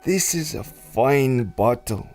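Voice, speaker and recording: Brief: actor doing a smooth mastermind villain, unhurried, theatrical swoops in pitch, soft music under. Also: male voice, expressive. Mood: silky menace. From Russia. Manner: smooth, silky, menacing, slow and deliberate. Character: suave treacherous male scientist villain, exiled aristocrat. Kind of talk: pulp adventure audio drama.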